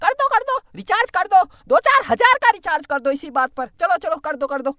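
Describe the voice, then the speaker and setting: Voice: extremely high pitch, normal speed, sharp tone, no echo, soft background music. A performer voicing a cheeky little boy in a comedy cartoon, pleading in a whiny, wheedling way and repeating the begging.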